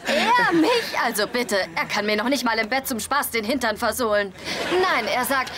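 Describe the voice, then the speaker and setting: A woman's voice: on the high side, animated, moderate pace, very noisy, lightly acted. An actress playing a feisty, playful young woman, teasing and exclamatory, in a dubbed sitcom.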